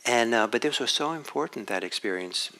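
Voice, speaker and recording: A man from Norway giving a dharma talk as a meditation teacher, speaking in a reflective, unhurried way with halting restarts. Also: medium pitch, animated, pushed and bright, low-quality recording.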